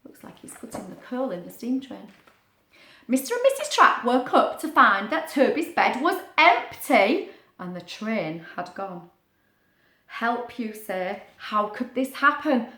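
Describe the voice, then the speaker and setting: A woman reading a picture book aloud to young children, expressive, with chatty asides and questions. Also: on the high side, measured pace. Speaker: children's story reader. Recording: roomy, echoing sound.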